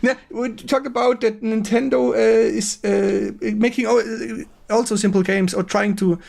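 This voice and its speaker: A man with a German accent hosting a casual game-stream interview in halting, repetitive English as he searches for words. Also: sits high, steady tone, creaky, darkish tone. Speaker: stream host.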